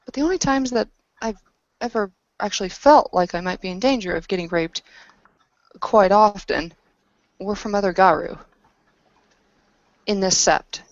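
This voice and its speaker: A woman's voice: medium pitch, moderate pace, long pauses, no echo. A woman playing a tabletop roleplaying game, speaking in character and narrating her character's actions. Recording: dull lo-fi audio.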